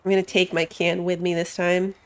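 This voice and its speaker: A woman's voice: lowish voice, level tone, brisk. A woman giving casual live commentary as she plays a game, chatting and thinking aloud. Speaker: game streamer.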